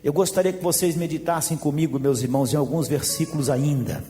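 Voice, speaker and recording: Male pastor, high voice, emphatic preaching with quiet jokey asides, long hall echo, faint music behind. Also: steady tone, brisk. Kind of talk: sermon.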